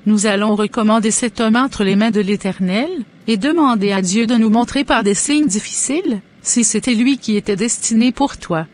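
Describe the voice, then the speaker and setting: A woman's voice: on the high side, normal speed, darkish tone, close to an everyday voice. A woman voicing a devout, blessing-giving mother. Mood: gentle. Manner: solemn, blessing-heavy, churchy phrasing.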